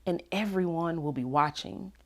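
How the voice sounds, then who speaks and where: A woman's voice: lowish voice, moderate pace, lightly acted. A woman voicing a careful, earnest young girl in a children's storybook, speaking politely. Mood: worried.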